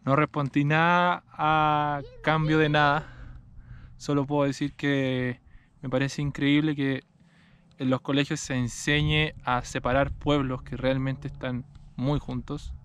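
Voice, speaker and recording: A man from Chile narrating his mountain bike vlog and chatting with riders, in a calm, reflective tone. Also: fairly high pitch, unhurried, a little hiss or hum. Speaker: vlogger. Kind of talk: casual vlog narration and interviews. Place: outdoors at a mountain bike race.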